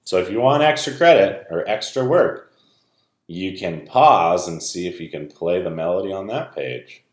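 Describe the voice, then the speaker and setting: A man with a fairly low, very lively voice talks like a music teacher addressing children. He explains in an informal, direct way, and his voice carries an indoor room echo. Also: normal speed. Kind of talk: informal video lesson and read-aloud for children.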